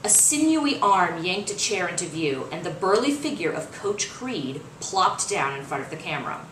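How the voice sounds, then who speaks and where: A woman reading fiction aloud as its author, in expressive, dramatic narration. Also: on the low side, moderate pace. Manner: dramatic, acts out dialogue. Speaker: author reading their own novel. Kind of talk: expressive audiobook-style narration. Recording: roomy, distant mic, faint noise.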